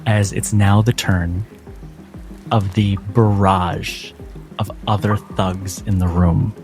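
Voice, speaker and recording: A man running a tabletop game as game master, narrating dramatically in deliberate, theatrical phrases over background music. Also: low voice, steady tone. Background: cinematic background music.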